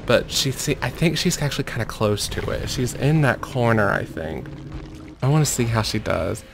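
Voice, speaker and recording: A man commentating over gameplay in a mostly monotone voice, muttering urgings at the screen. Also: medium pitch, moderate pace, creaky, noisy background. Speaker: gaming video creator.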